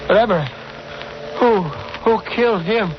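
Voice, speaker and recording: An actor playing a panicked, guilt-ridden murderer, pleading and stammering in a melodramatic 1940s radio style. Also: male voice, sits high, moderate pace, close to an everyday voice. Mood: shocked. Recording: muffled, noisy old radio recording.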